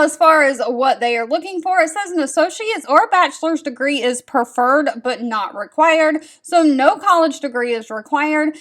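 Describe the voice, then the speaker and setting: A woman's voice: sits high, fairly bright tone. A woman in her thirties hosting a vlog, talking straight to her viewers in a friendly, direct way. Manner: friendly, direct, repeats advice. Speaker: host sharing job leads. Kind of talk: casual scripted-feeling vlog talk to viewers.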